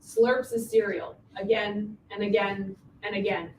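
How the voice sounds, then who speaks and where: A woman's voice: mid-range voice, level tone, measured pace. A woman reading scripted stage directions as narration, recorded in a roomy space away from the microphone. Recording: roomy, distant mic, faint noise.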